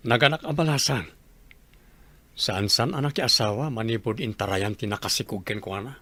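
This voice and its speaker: Man acting a low, calm father. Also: brisk, no echo. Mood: calm, probing. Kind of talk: audio drama acting.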